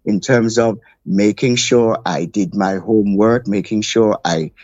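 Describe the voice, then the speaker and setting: Older man, from Jamaica, low voice, interview guest, reflective storytelling, muffled dry sound. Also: measured pace, a little mellow, dry recording.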